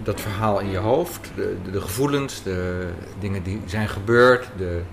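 A man's voice: fairly low pitch, moderate pace, faint music behind. A man interviewing someone conversationally in a café, musing as he asks his questions. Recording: faint café background noise.

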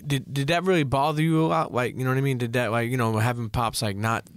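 Guy hosting a podcast, easygoing and chatty, middle pitch, dry mic. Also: fairly even intonation, fast talker, clean tone, no room sound. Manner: laid-back, chatty, lots of fillers. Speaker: podcast host. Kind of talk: casual interview.

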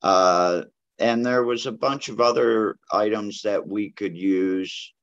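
A man's voice: fairly low pitch, slow pace, a bit bright, no echo. A man talking bluntly in an interview about growing cannabis abroad, rambling with many fillers. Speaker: cannabis grower guest. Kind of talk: casual interview talk. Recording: dull lo-fi audio.

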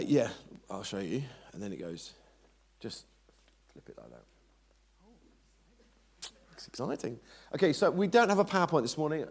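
A man's voice: mid-range voice, a little mellow, husky. A man preaching at a church service, chatty and joking with the congregation. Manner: chatty, self-deprecating, jokes with the congregation. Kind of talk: informal church sermon introduction.

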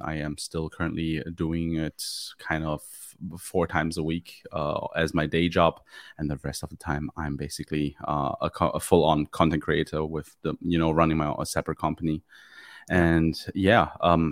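Very low-voiced hesitant German man. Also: flat delivery, moderate pace, no room sound, clean studio sound. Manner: hesitant, many ums and restarts. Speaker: guest photographer. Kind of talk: casual interview chat. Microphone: studio mic on a video call.

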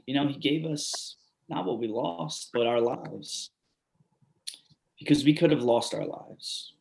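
A man's voice: mid-range voice, slow pace, creaky, a little mellow, a little hiss or hum, rough recording. An actor playing a grateful male storm survivor in a readers theatre monologue, often saying "you know". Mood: reflective. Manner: reflective, repeats "you know".

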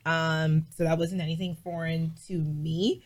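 A woman vlogger chatting casually as an interview guest, emphatic and animated, with lots of "like". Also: low-pitched, measured pace. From Bahamas.

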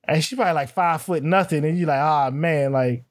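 A man co-hosting a casual music podcast, animated and laughing often as he acts out quotes. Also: on the high side, fairly quick. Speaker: podcast co-host. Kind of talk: casual chat.

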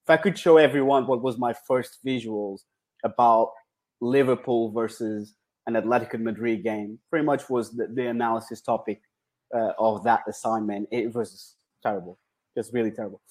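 Portuguese-accented man, relaxed interview guest. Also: medium pitch. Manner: relaxed, rambling with many fillers and restarts. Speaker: guest, football data analyst. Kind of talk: podcast interview.

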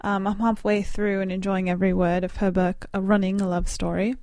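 A woman plays a composed British podcast presenter with a put-on accent. Her voice sits at a middle pitch in a dry recording. She reads out listener messages conversationally. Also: level tone, fairly quick, a little mellow, no echo, lightly acted. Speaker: podcast co-host reading listener tweets.

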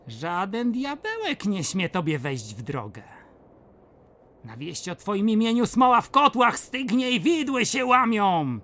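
A woman's voice: mid-range voice, fairly bright tone, slight background noise, full character voice. A woman voicing a sly, boastful male devil in a radio play, wheedling and theatrical. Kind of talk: radio drama acting.

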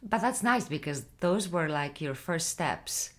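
A woman's voice: lowish voice, expressive, measured pace, a bit bright. A woman hosting her own interview show, asking questions in an encouraging way. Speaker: talk show host.

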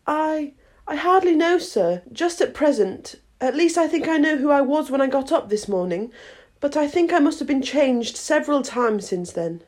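A woman's voice: sits high, big pitch swings, moderate pace, pitched above the performer's own voice. A woman voicing a shy, polite and confused young girl from a storybook, explaining herself earnestly.

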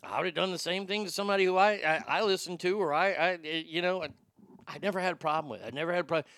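Profane, bright-voiced talk host. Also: male voice, high-pitched, fast talker. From Texas. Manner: profane, rambling storyteller with many restarts. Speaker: radio host. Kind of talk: casual podcast monologue.

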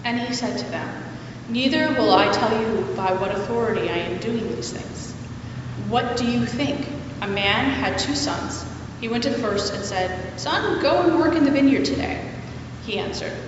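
A woman reading scripture aloud at a church service in a formal, measured and expressive way, with deliberate phrasing. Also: fairly high pitch. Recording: very echoey hall, distant mic, noisy, muffled.

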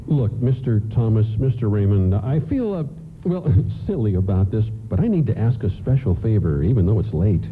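A man's voice: fairly low pitch, sing-song, normal speed, narrow, tinny audio. A man playing a frazzled, polite father caught in a snowstorm, hesitant and stammering, in a radio play. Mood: sheepish, grateful. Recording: noisy, band-limited radio broadcast.

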